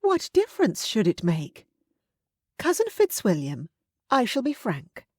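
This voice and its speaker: Woman narrator as a tart middle-aged aunt, pointed and scolding, lively, dry sound. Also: a little slow, dry recording, exaggerated voice. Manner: pointed and scolding, raised pitch.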